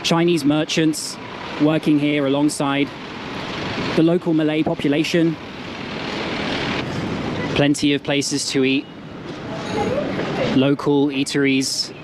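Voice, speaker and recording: Flat-voiced man vlogging on a noisy street. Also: fairly high pitch, takes it slowly, pauses often. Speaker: travel vlogger. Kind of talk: walking travel vlog narration. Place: busy city street outdoors. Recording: loud outdoor street noise. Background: loud traffic and street noise.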